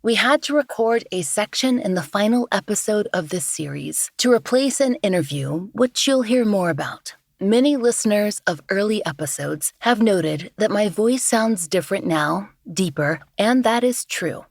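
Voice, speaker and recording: A woman hosting a true-crime podcast in measured scripted narration, her voice permanently lowered by past vocal cord damage. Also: expressive, vocal fry, a little mellow, no echo, studio quality. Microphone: studio microphone.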